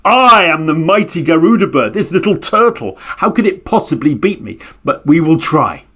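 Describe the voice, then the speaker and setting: A man voicing a mighty, boastful giant bird that talks in a proud, slow way. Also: on the high side, very animated, sharp tone, heavily acted.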